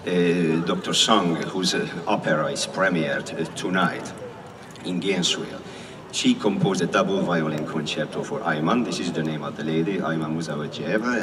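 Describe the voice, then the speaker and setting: Deep-voiced Hungarian man on a distant PA. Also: noisy background. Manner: storytelling, acts out a dialogue with lively pitch jumps. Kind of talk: addressing an outdoor concert audience. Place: outdoor concert venue. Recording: echoey outdoor PA sound from far off.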